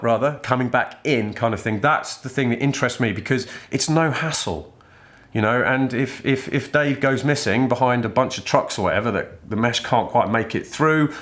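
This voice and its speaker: A man's voice: medium pitch, fairly bright tone. A middle-aged British man giving an informal product review to camera in a chatty, irreverent way with casual slang. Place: indoor studio set styled as a workshop.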